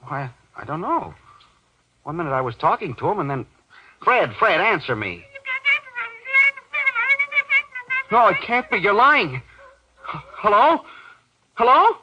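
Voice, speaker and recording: An actor playing an anxious middle-aged businessman in an old-time radio horror drama, talking in halting, stammering bursts. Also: high-pitched, wildly swooping pitch, pushed and bright, husky, dry recording, exaggerated voice. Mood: panicked. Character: balding, pot-bellied businessman, anxious, laughing off dread. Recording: dull, lo-fi old radio recording.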